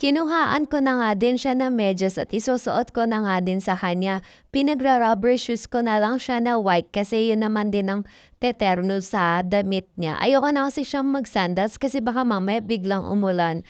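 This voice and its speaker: A woman's voice: mid-range voice, moderate pace, smooth, dry recording. A young Filipino woman with a chatty, informal manner, narrating a casual vlog as a voice-over. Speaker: mom vlogger.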